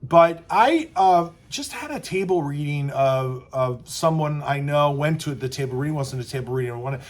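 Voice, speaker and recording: A man's voice: on the high side, expressive, moderate pace. A man talking casually to camera as a screenwriting coach, conversational, acting out little quoted asides as he explains. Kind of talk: casual advice talk to camera.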